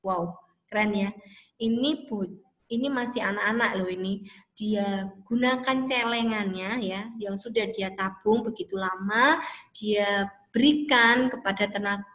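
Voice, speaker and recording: A woman's voice: mid-range voice, measured pace, fairly bright tone. A woman teaching a recorded school lesson, chatty and encouraging as she addresses her pupils directly. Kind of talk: recorded classroom lesson for children. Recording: echoey, roomy sound.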